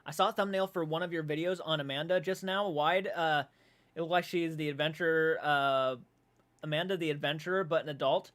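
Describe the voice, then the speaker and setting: A man in his twenties hosting a casual livestream, reading viewer comments aloud and reacting with animated, swearing banter. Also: on the high side, a little fast. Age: 20s. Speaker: livestream host. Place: dark room at home.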